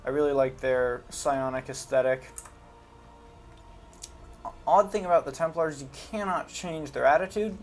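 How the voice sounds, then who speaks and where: A man's voice: a little high, a little slow, long pauses, soft background music. A man giving casual, unscripted commentary on a video game, talking informally and hesitantly as he thinks aloud. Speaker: gaming video host.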